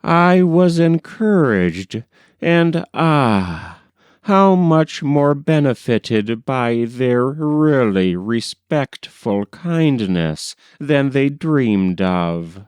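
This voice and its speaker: Clear, unhurried male audiobook narrator. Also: mid-range voice, no room sound, clean studio sound. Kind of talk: scripted literary narration.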